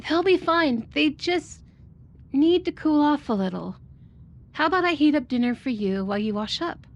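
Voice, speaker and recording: A woman's voice: sits high, lively intonation, moderate pace, highs cut off. A woman playing a sweet, devoted but sly animal hybrid roommate in an ASMR roleplay, with a sugary, coaxing manner. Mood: sweet, caring. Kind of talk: ASMR audio roleplay acting.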